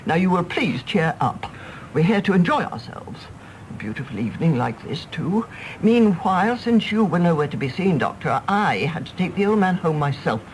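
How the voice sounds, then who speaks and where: A woman's voice: on the low side, sing-song, normal speed, slight background noise, poor audio. An actress playing a domineering, fretful elderly mother in a radio drama, fussy and scolding. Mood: brisk scolding. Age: older. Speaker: actor. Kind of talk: British radio drama acting.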